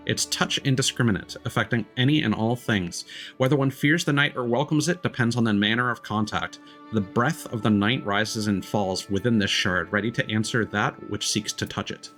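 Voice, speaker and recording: A man's voice: on the low side, fairly quick, a bit bright. Man reading aloud over music. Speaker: livestreamer playing and reading a video game. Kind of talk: let's-play stream, reading lore text aloud. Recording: music behind the voice. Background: game music.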